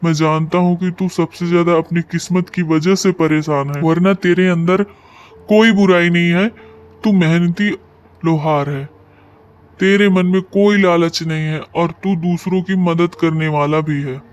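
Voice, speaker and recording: A man playing a solemn wandering holy man in a cartoon moral story, speaking in a measured, fatherly way. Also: a little high, steady tone, clear, resonant tone, lightly acted. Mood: solemn, approving.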